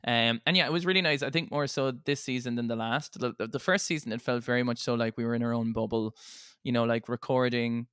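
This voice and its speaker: Fast-talking man on a casual podcast. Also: mid-range voice, fairly even intonation, a bit bright, clear, resonant tone, dry recording, studio quality. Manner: rambling, appreciative, frequent "you know". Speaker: podcast co-host.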